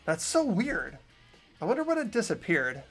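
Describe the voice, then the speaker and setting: A man's voice: sits high, huge pitch swings, normal speed, fairly bright tone, breathy edge, soft background music, heavily acted. A man voicing an earnest, puzzled teenage boy who thinks aloud while investigating in a visual novel game. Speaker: amateur voice actor in a fan playthrough. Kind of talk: fan-dubbed visual novel acting and narration.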